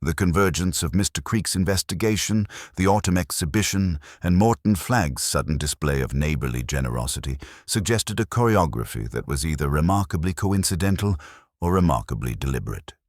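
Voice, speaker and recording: A man narrating a scripted mystery story in a measured, formal, literary manner. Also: low-pitched, animated, no echo, clean studio sound.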